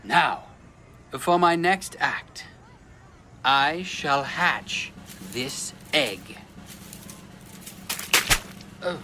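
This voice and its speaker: A man's voice: on the high side, bright, forceful tone, a little hiss or hum, full character voice. A man playing a flamboyant, bumbling swindler of a street magician with theatrical showman patter in a comic audio drama. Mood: falsely modest, flustered.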